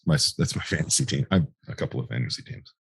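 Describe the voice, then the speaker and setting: A man's voice: a bass voice, expressive, rapid-fire, gravelly, husky, no room sound. A man chatting casually as the co-host of a podcast. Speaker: podcast co-host, Marine veteran. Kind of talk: casual chat.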